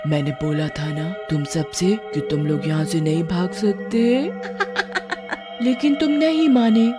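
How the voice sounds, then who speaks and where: A woman's voice: lowish voice, lively intonation, slow. A woman voicing a gloating, cackling evil witch who taunts her victims in a horror cartoon. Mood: gloating menace. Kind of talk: Hindi horror-cartoon voice acting. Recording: music behind the voice.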